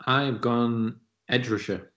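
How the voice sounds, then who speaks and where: A man's voice: mid-range voice, steady tone, slow, fairly bright tone. A man with a Yorkshire accent co-hosting a casual podcast, bantering and joking. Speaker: podcast co-host. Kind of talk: casual chat. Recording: dull lo-fi sound.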